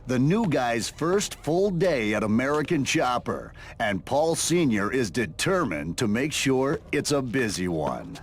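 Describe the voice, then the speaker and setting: A man's voice: medium pitch, big pitch swings, measured pace, few pauses, slight background noise. A man narrating a motorcycle workshop show in a scripted, dramatic style.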